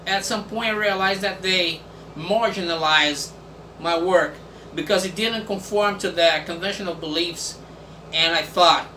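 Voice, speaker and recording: A man whose first language is Portuguese, hosting a casual video and reading text aloud in English with many pauses and fillers. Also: sits high, sharp tone. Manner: halting, many fillers and restarts. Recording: echoey room from far off, faint background noise.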